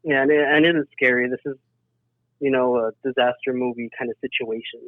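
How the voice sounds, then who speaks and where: Male interview guest, conversational and earnest, mid-pitched, dry sound through a narrow phone-quality connection. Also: steady tone, fairly quick, dry recording. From south Texas, United States. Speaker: interview guest, emergency dispatcher. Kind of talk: casual personal account in a podcast interview. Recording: thin phone-like band-limited sound.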